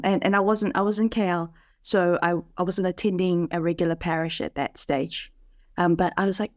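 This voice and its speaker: A woman's voice: mid-range voice, no echo, studio quality. A woman from New Zealand, a podcast guest, telling her story in casual conversation over a video call, with many fillers and restarts. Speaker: podcast guest, parish leader.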